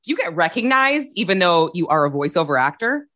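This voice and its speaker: This is a young woman hosting a podcast, animated and gushing, and she swears casually as she talks. Her voice is bright and forward, and her pitch swings widely. The sound is dry and close. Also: fairly quick, no echo. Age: young adult. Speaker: podcast co-host. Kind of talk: casual interview chat.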